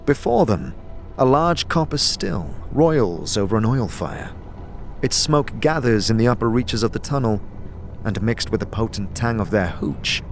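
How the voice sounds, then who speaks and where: A man narrating a fantasy audio drama in the second person, with deliberate, theatrical storytelling. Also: on the low side, slight background noise. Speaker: narrator and game master. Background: music bed.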